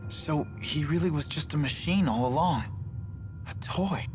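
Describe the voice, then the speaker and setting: A man's voice: on the high side, unhurried, lightly acted. A man playing an earnest, caring teenage boy in a game, with a questioning manner. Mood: earnest, puzzled. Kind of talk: English game dub acting. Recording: loud game music behind.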